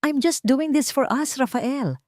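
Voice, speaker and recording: A woman voicing a shrill, manipulative socialite fiancée. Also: measured pace, a little mellow, no room sound, clean studio sound. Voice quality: shrill, falsely sweet. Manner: gaslighting, insistent.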